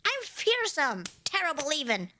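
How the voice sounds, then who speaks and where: A woman's voice: high-pitched, huge pitch swings, normal speed, bright, forceful tone, slight background noise, heavily acted. A woman playing a tiny, proud and easily flustered female demon in an ASMR roleplay, boastful and indignant, often hedging and correcting herself. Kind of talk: ASMR roleplay addressed to the listener.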